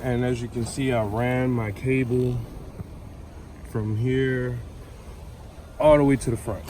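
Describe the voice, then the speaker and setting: A man's voice: mid-range voice, fairly even intonation, slow, dark tone. Casual American man vlogging, noisy vehicle recording. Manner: informal, slangy. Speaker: vlogger demonstrating a DIY car install. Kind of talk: casual hands-on vlog chat. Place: in or around a vehicle. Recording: clear background noise, vehicle ambience.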